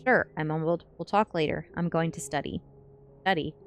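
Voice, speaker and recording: A woman's voice: low voice, normal speed, a little hiss or hum. A sleepy woman streamer doing an ASMR book reading and chatting casually with viewers. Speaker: streamer reading books aloud. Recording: music playing behind the voice. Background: music.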